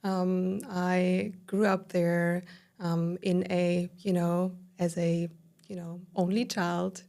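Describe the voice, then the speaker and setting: A woman in her thirties from southern Germany with a German accent, a podcast guest recounting her life in a warm, filler-heavy way. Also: on the low side, level tone, slow pace, creaky. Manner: warm, storytelling with many fillers. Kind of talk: casual interview conversation.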